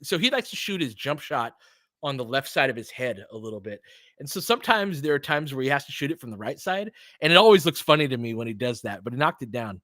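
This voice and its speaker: Male basketball play-by-play host, mid-pitched, informal fan-side delivery, excitable on big plays, very dry recording. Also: fast talker, dry recording. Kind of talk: live basketball commentary and analysis.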